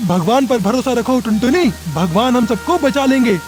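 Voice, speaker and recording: A man acts an earnest male character from a children's cartoon fable. His voice is unusually high for a man and moves widely up and down, with a dramatic delivery over faint music. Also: expressive, normal speed. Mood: reassuring. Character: male pigeon father, also solemn god of death. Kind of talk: children's animated moral story acting.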